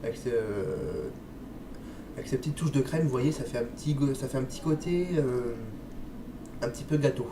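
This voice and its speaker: A man with a slight head cold talks his way casually through a review, hesitating and filling gaps with little interjections. He sits back from the microphone, so his middle-pitched voice carries a roomy echo over a steady hum of background noise. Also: normal speed. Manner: chatty, hesitant, says "les amis" and "hop" often. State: stuffy with a cold, coughing. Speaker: vape liquid reviewer. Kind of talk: casual product review vlog. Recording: echoey room, distant mic, noisy.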